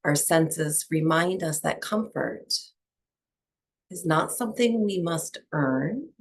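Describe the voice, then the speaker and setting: Female breathwork guide, low register, very slow pace, soft soothing instruction for a small evening class. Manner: soft, unhurried, soothing delivery with long pauses. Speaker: yoga and breathwork teacher.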